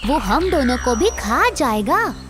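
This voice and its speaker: High, childlike cartoon squirrel girl. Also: big pitch swings, slow, full character voice. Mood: suspicious. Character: wary, outspoken female squirrel, the monkey's old friend. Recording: music behind the voice.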